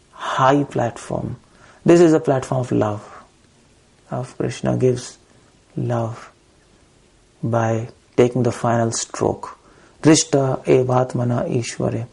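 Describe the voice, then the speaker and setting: A man's voice: mid-range voice, gravelly, dark tone, husky. A man with an Indian accent lecturing on scripture as a preacher, reciting verses and driving points home in short, repeated phrases. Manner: emphatic, repetitive phrasing in short bursts.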